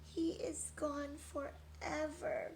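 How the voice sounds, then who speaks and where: Storybook lamb, young, female, high-pitched, imploring and eager, played by a woman reader. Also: flat delivery, a little mellow, a little hiss or hum, exaggerated voice, rough recording. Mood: sad. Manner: imploring, drawn-out pleas.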